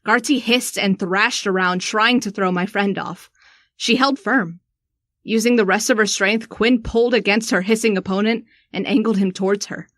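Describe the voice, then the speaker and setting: A woman playing a shaken, sharp-tongued young woman in a first-person audio drama. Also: medium pitch, normal speed, no room sound, lightly acted. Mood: tense narration.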